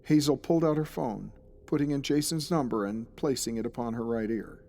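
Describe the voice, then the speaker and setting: Man as grave storyteller, medium pitch, scripted mystery narration, audio drama, slight rumbling noise. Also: brisk, vocal fry, warm tone, lightly acted. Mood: grave, ominous. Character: grave male storyteller recounting his friend's case.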